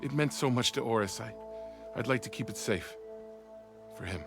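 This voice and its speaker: A man's voice: medium pitch, normal speed, lightly acted. An actor playing a formal, anxious male weapons officer in a video game, earnest and deferential in his manner. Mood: earnest, sorrowful. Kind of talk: video game cutscene acting. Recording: music behind the dialogue.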